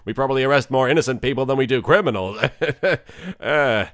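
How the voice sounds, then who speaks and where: A man's voice: mid-range voice, fairly quick, dry recording, clean studio sound. A man voicing a blustering, dim police detective in a cartoon parody, earnest and self-important. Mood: blithely confident.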